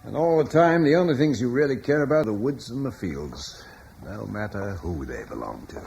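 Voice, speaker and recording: A man's voice: fairly low pitch, very animated, normal speed, creaky, a little mellow, slightly rough, full character voice. An actor playing a weary Roman-era man who speaks in a wry, bitter, resigned way, in a classic radio play. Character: weary Roman-British man facing the end. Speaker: Julian, husband in a radio play. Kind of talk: 1950s-60s radio drama acting. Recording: old radio broadcast with faint noise.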